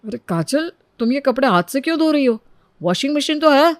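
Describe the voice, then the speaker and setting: A woman's voice: sits high, lively intonation, a little fast, no echo, clean studio sound, voice pushed up. A woman voicing a caring, puzzled young husband in a storybook tale, mildly remonstrating. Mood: concerned. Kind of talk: Hindi moral-story voice acting.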